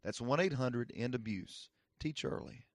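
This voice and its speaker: A man's voice: medium pitch, measured pace. A man reading a scripted public service announcement as an earnest, direct appeal.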